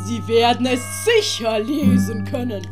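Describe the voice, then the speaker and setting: A woman voicing a fussy, kindly old male scholar in a comic audiobook, deliberate and hesitant. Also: a little high, over music, close to an everyday voice. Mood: reassuring. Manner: deliberate, hesitant, fussily solicitous. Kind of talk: German audiobook commedia dell'arte acting.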